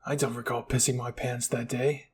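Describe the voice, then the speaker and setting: A man's voice: mid-range voice, level tone, normal speed, a little hiss or hum, lightly acted. A man voicing a teasing, level-headed male soldier in an amateur anime roleplay.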